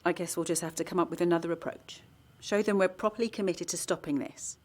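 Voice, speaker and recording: A woman playing a determined, organised small-town campaigner in an audio drama, with an articulate, measured delivery. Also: on the low side, steady tone, lightly acted. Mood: weary, thoughtful. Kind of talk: naturalistic audio drama, dialogue and narration.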